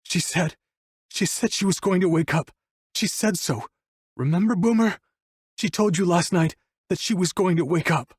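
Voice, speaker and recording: Audiobook role: male narrator as frantic grieving lover, pleading and crying out, pitched high, dry recording. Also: normal speed, slightly rough, no echo. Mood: desperate, disbelieving. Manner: screaming, pleading, breaking up with grief. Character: desperate grieving man, pleading with his unconscious lover.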